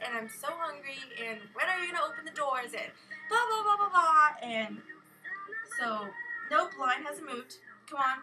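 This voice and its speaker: A young American woman telling a rambling, casual vlog story in an expressive way, acting out exchanges as she goes. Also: high-pitched, poor audio. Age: young adult. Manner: animated, expressive, acts out exchanges. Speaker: vlogger. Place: bedroom at home. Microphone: built-in camera or webcam mic at arm's length.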